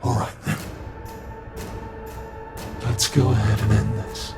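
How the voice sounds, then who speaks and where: A man's voice: on the low side, flat delivery, slow, over loud music. A man voicing a smug, predatory male monster who teases the prey he is hunting, in an ASMR horror roleplay. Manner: teasing, taunting.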